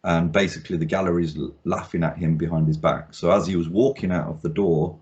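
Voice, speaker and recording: A man's voice: deep voice, steady tone, a little fast, darkish tone. A man hosting a casual interview as an art critic, talking in a rambling way with many ums and restarts. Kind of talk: casual video-call interview. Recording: dull lo-fi sound.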